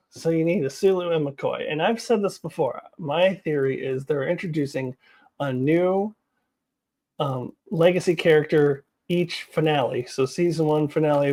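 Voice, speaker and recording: A woman's voice: low voice, warm tone. A woman giving casual, unscripted commentary as a video host, speaking in an emphatic, opinionated way.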